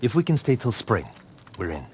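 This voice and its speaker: An actor playing a wary, shrewd hunter leader in a dramatic game cutscene, talking in a gruff, clipped, wry manner. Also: male voice, mid-range voice, huge pitch swings, brisk, a little hiss or hum. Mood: wry, guarded.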